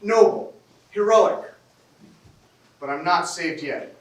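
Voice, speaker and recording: A man's voice: sits high, expressive, slow. A man on stage playing a weary, practical Swiss soldier in a classic comedy, wry, polite and self-deprecating. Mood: wry, courteous. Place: theatre stage, recorded from the audience. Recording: roomy, distant stage recording with hiss.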